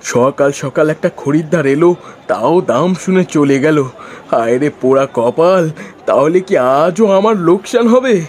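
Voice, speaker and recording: Man acting a plaintive, high-voiced poor village vendor. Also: animated, a little slow, a little mellow. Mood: despairing. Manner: lamenting, pleading. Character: luckless, plaintive poor village vegetable seller husband.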